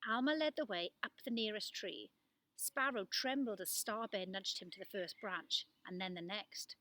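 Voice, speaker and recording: Bright-voiced woman reading a storybook. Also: medium pitch, normal speed, low-quality recording. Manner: animated delivery with acted-out dialogue. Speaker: storybook reader. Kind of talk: expressive children's storytime reading.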